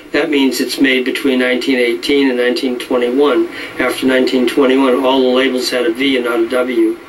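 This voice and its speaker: A middle-aged man who deals in violins, speaking in a measured, knowledgeable way with frequent fillers. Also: medium pitch, slight background noise, poor audio. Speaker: violin dealer. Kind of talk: casual explanatory talk.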